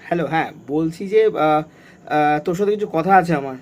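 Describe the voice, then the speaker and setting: A man's voice: a little high, fast. A young man, talking casually and insistently, often repeating himself, as in a vlog or phone call. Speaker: prank video creator.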